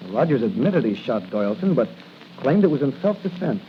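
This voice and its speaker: An actor playing a confident young patrolman in a 1940s radio drama, brisk, assured and earnest. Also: mid-range voice, sing-song, close to an everyday voice. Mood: earnest, resolute. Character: confident young male rookie patrolman, secret crime-fighter. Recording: noisy, muffled 1940 radio recording.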